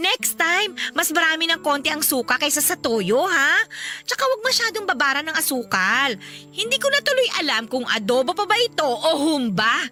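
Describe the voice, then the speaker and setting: A woman's voice: high-pitched, big pitch swings, normal speed, bright, forceful tone, heavily acted. A woman playing a talkative, brazen, teasing wife in a radio drama, chattering and needling with exclamations. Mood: blunt, fussy.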